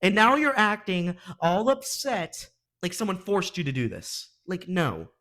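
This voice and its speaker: A high-voiced man hosts a casual reaction commentary. His pitch swings widely as he gives exasperated, slangy opinions. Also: sing-song, unhurried, pushed and bright. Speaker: reaction video host.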